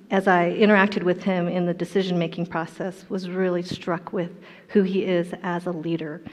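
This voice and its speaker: Middle-aged female speaker, testimony talk, long hall reverb, muffled lo-fi sound. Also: on the low side. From Colorado, USA. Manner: warm storytelling, self-deprecating humor. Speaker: guest speaker. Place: large indoor hall stage. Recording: dull, echoey hall sound.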